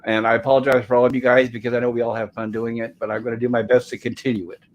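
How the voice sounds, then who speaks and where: Slightly raspy man hosting a livestream. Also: medium pitch, little pitch movement, racing, a little hiss or hum. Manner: polite, welcoming, appreciative. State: throat irritated by smoke, holding back a cough. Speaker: livestream host. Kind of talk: casual livestream chat.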